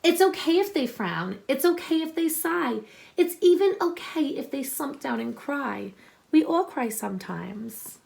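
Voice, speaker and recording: Slow-paced woman reading aloud to children. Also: high-pitched, big pitch swings, gravelly, a little mellow. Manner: deliberate, instructive, addressing young listeners with questions. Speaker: teacher reading a picture book aloud to children. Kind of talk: expressive storybook read-aloud with explanatory asides to children.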